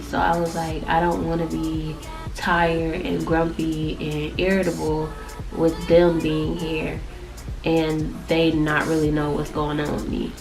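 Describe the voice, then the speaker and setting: A woman's voice: low-pitched, vocal fry. A young woman vlogging in a casual, chatty, rambling way, sounding nasal and congested from allergies. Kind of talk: casual chat to camera.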